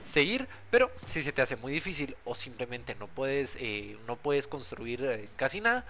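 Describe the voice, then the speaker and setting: A man's voice: medium pitch, big pitch swings, normal speed, a bit bright, slight background noise. A young man giving a casual, friendly gameplay tutorial, talking directly to his viewers in an informal way. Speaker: gaming video creator and host.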